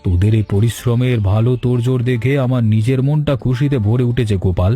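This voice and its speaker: A man plays an old village father-in-law in a cartoon tale. His tone is dark and relaxed. He talks with earnest, fatherly affection over light music. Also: mid-range voice, fast, slightly rough, natural voice. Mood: pleased. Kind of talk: cartoon story dialogue.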